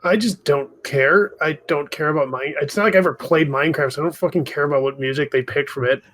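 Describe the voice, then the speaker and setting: Higher-pitched man chatting casually. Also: fast talker, a bit bright. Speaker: gaming podcast panelist. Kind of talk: casual chat.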